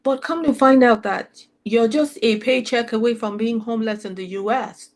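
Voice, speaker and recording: A woman's voice: fairly high pitch, steady tone, moderate pace. A middle-aged woman from Nigeria, hosting an interview chat and speaking reflectively, often restarting her sentences. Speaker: host.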